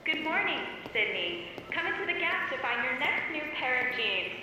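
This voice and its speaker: A heavily echoing, high female voice, a chirpy automated store greeter. The delivery is bright, salesy and polished, like a retail announcement in an audio drama. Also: very animated, normal speed, a little hiss or hum, exaggerated voice. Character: perky female talking store advert greeter. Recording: cavernous echo, faint street ambience.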